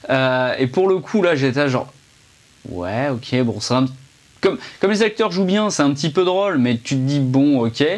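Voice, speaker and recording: A man's voice: medium pitch, expressive, moderate pace. A young man giving a casual, rambling film review, chatty and full of fillers and restarts, speaking indoors to a camera under a ring light.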